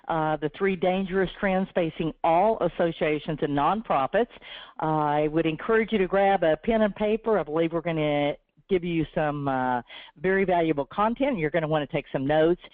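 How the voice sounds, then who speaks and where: A woman with a sore, slightly raspy throat presents a webinar from a prepared script in an earnest, encouraging way. Her voice comes through a thin, phone-like line with no room sound around it. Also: fairly low pitch, dry recording. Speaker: webinar host from a business development team. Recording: tinny, dry phone-line audio.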